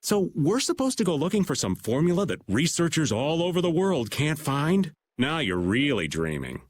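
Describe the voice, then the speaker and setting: An actor playing a weary, teasing middle-aged rogue with a flippant drawl in a video game. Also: male voice, a little high, very animated, normal speed, no room sound.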